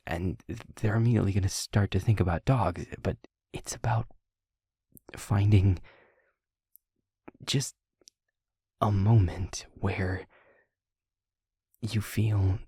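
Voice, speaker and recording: Gentle male vampire, played by a man, low and slow, soothing a partner in an intimate audio roleplay. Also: no echo, clean studio sound, full character voice. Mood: gentle, reassuring. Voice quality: breathy, husky, near-whispering. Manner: halting, deliberate, reassuring.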